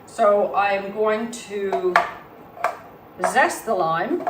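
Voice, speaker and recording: Hoarse woman with a cold, demonstrating a recipe. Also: mid-range voice, very slow. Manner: calm, explains health benefits while cooking. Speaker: cooking presenter. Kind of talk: casual cooking demonstration. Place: home kitchen. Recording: roomy, distant mic, faint background hum.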